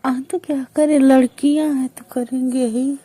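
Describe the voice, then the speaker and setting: High, very mellow young woman. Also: little pitch movement, moderate pace, breathy edge. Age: young adult.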